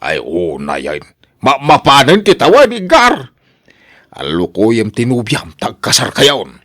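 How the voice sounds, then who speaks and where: A man plays a middle-aged father in a radio drama. The voice is mid-pitched and lively, dry and close, with an Ilocano accent and a nagging manner. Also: a little slow, dry recording, full character voice. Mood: nagging, curious. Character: nagging middle-aged father pressing his child to marry.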